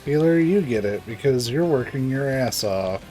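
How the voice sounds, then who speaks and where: A man's voice: mid-range voice, animated, moderate pace, mellow, low-quality recording. A man streaming a video game with casual, blunt, sweary commentary. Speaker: gameplay streamer. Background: game music.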